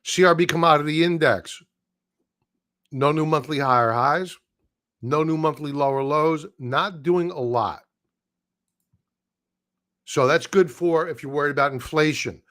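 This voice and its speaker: A man hosting a live market stream, talking through charts in a blunt, opinionated way with casual slang, his voice going hoarse and breaking into throat-clearing. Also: a little high, a little slow, long pauses, a bit bright. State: losing his voice.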